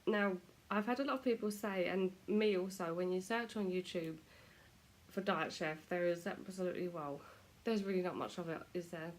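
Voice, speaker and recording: A woman's voice: lowish voice, normal speed. A British woman chatting casually to camera at home, self-deprecating, her nose stuffy from a cold. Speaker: vlogger.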